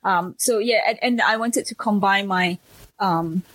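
A woman's voice: medium pitch, measured pace, noisy background. A woman in her thirties from Singapore, a speech pathologist being interviewed, answering hesitantly with many fillers and restarts in a modest manner. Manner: modest, laughs shyly. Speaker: speech pathologist and clinic owner.

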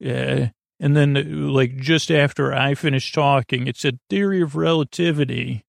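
A man hosts a sleep podcast in a gravelly, creaking voice at an average male pitch. He meanders from tangent to tangent, hesitating and gently putting himself down as he goes. The delivery is meant to lull, and the sound is very dry, with no room around it. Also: normal speed, dry recording. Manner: meandering, hesitant, lulling, self-deprecating. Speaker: podcast host. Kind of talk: rambling, tangent-filled sleep podcast monologue.

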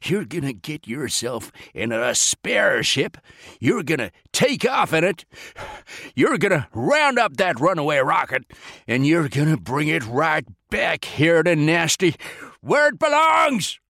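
A man voicing a bellowing, blustering retired American major general in a comic story, with a gravelly roar, an American accent and bossy, folksy exclamations. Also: on the high side, sing-song, measured pace, sharp tone, dry recording, studio quality, pitched above the performer's own voice, full character voice. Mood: bossy, commanding. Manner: folksy old-fashioned exclamations, exasperated, bossy drawl.

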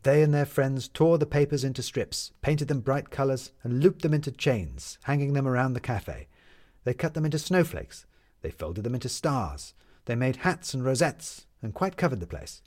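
Male narrator for a scripted tale: average pitch, measured and lyrical phrasing. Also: lively intonation, a little mellow.

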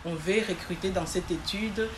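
A woman's voice: fairly low pitch, normal speed, noisy background. A woman from Congo, raised in Belgium, answering interview questions earnestly with many hesitations. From born in Congo, raised in Belgium. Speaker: podcast guest. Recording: echoey room with faint ambience.

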